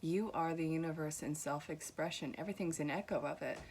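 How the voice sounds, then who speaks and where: A young woman with a low voice and an accent that mixes soft Vermont with New York, talking straight to her viewers. She gives a casual, reassuring spiritual reading and teases gently as she reads card messages aloud. A faint hiss of room noise sits under her voice. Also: fairly quick. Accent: mixed Vermont and New York. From Vermont, USA. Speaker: tarot reader.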